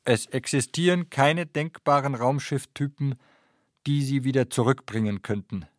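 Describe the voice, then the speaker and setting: A man's voice: medium pitch, normal speed, background noise, close to an everyday voice. A man narrating a science fiction radio play as a matter-of-fact chronicler of a space voyage.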